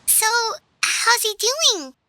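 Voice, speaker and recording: A woman voicing a tiny, curious, childlike floating fairy companion in a video game, with a squeaky voice. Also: big pitch swings, very slow, bright, forceful tone, a little hiss or hum, exaggerated voice. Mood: concerned. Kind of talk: video game character acting.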